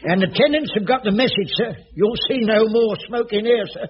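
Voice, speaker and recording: An actor playing a flustered, fawning caretaker in a radio sitcom, stammering with an English working-class accent. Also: male voice, high voice, brisk, background noise, close to an everyday voice. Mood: obsequious. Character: jobsworth male caretaker of shabby flats, flustered, obsequious. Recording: dull vintage radio recording, studio audience.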